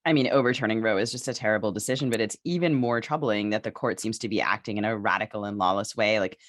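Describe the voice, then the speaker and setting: A woman's voice: a bass voice, quick pace. An American woman co-hosting a podcast with casual, opinionated political talk and a wry, playfully sarcastic manner. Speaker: podcast host.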